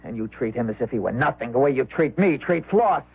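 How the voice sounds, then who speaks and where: An actor playing an earnest younger husband with a sarcastic edge and a husky voice, in a radio drama. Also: male voice, medium pitch, lively intonation, a little fast, phone-quality sound. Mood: indignant. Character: protesting, sarcastic son-in-law, younger man. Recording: thin vintage radio recording.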